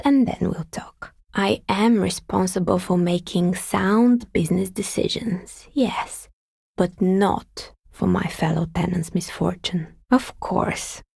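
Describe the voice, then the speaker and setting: Wry, husky woman artisan. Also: lowish voice, darkish tone, no echo, heavily acted. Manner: dry, sardonic, measured politeness. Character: wry, skeptical woman artisan dicemaker, politely world-weary. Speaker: video game character. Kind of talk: video game dialogue acting.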